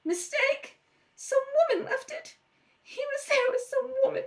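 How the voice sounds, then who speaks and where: A woman playing a distraught, weepy young wife in a radio play, wailing melodramatically in a high voice. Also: animated, measured pace, full character voice. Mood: bitter, upset. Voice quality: high, sobbing.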